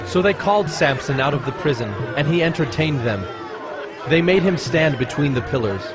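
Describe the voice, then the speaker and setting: A man's voice: fairly high pitch, expressive, normal speed, a crowd in the background. A man giving scripted narration for a dramatized scripture recording, with music behind him.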